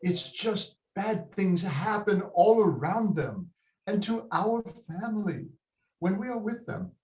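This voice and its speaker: A man playing a stern, slow-spoken loving father. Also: sits high, animated, darkish tone. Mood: grave, sorrowful. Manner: deliberate, paternal, slips Spanish words into English. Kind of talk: dramatic table read. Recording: roomy echoing video call.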